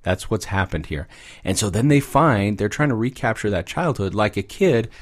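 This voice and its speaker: A man's voice: fairly low pitch, animated, moderate pace. A man speaking as a guest expert, explaining fairy tales in a conversational way with little acted asides. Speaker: guest expert, psychology professor.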